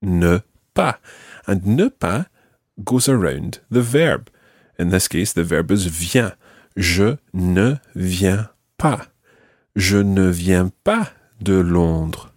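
Patient man teaching, lively voice. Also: deep voice, takes it slowly, no room sound, clean studio sound. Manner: patient, clear explaining. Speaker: language teacher and podcast host. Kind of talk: podcast language lesson.

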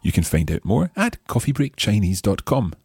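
A Scottish man hosting a language podcast, speaking in an upbeat, careful way and practising phrases slowly. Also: lowish voice, very animated, darkish tone, breathy edge. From Scotland. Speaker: language course host. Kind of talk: scripted podcast lesson.

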